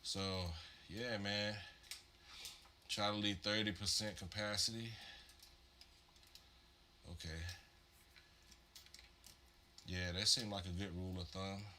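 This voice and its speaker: Laid-back man with a bright, lowish voice. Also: steady tone, slow pace, long pauses, slight background noise. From Virginia, USA. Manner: laid-back, rambling, many fillers and "man". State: congested, getting over a cold. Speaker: livestream host building modular synth patches. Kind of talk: casual live-stream chat.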